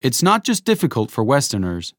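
A man reading a scripted educational narration, explaining clearly and adding rhetorical asides. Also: mid-range voice, wildly swooping pitch, brisk, dry recording, clean studio sound.

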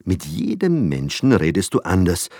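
A man's voice: low-pitched, huge pitch swings, moderate pace, a little mellow, no echo, clean studio sound, full character voice. A man voicing a curious young boy who asks plain, earnest questions.

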